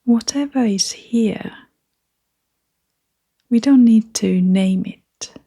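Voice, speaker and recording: A woman guiding a meditation in a soft, half-whispered voice, gentle and soothing, leaving long pauses between phrases. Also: on the high side, slightly rough. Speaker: meditation guide. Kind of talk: guided meditation narration.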